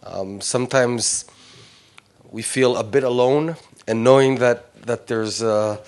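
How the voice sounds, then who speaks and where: A man's voice: mid-range voice, slow pace, a little mellow, clear, resonant tone. A man in his forties from Israel, a Hebrew speaker talking in English, telling his personal story to a visiting group in a hesitant way with many fillers. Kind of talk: informal personal talk.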